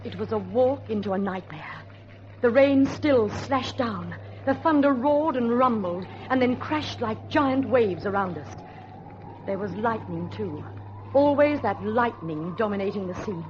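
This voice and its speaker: A woman's voice: a little high, lively intonation, unhurried. A woman playing an anxious, determined young niece in a suspenseful radio drama, speaking and narrating in an earnest, literary way. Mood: grave, suspenseful. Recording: muffled old radio recording, faint noise.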